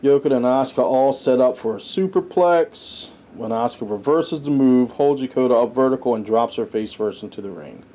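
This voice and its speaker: A man with a soft, mellow voice and a middling pitch hosts a casual podcast. He talks conversationally, moving through a list and tossing in personal asides as he goes. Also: a little fast, hardly any pauses. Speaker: podcast host reviewing wrestling history. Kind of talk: casual commentary, reading a ranked list.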